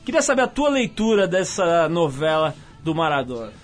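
A man's voice: on the high side, very animated, normal speed. A man hosting a casual radio talk show, joking and chatting loosely with his guest. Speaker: radio host.

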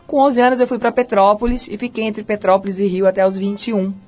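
A middle-aged woman with a Carioca accent, a chef and restaurant owner talking about her life as a guest on a radio interview, as a lively storyteller. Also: fast, faint music behind. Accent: Carioca Brazilian Portuguese. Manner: lively storyteller, pitch swinging high and low.

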